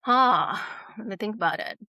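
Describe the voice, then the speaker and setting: A woman's voice: medium pitch, animated, moderate pace, bright, forceful tone, no echo. A woman author answering interview questions reflectively, often checking in with short tag questions. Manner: reflective, frequent tag questions like "right?" and "you know?". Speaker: author, podcast guest.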